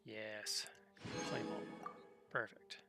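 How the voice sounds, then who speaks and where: A man's voice: lowish voice, level tone, extremely slow, creaky. A man streaming a game, chatting casually and muttering to himself as he plays. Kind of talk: casual gameplay commentary. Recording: game music loud behind.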